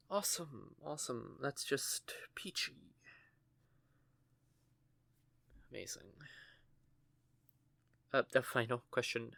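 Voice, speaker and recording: A man's voice: medium pitch, vocal fry, a bit bright, no room sound. Male actor as a nervous stuttering teen-ish witch; everyday mid voice, dead-dry close recording. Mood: flustered. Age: young adult. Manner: stammering, flustered. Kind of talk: audio roleplay acting.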